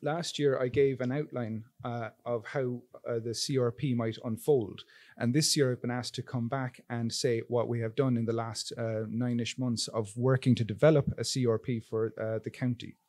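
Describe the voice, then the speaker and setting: A man's voice: mid-range voice, fairly even intonation, normal speed, darkish tone. An Irish man in his thirties, a town coordinator, presenting at a public forum from a podium microphone in a meeting hall. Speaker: town coastal resilience coordinator.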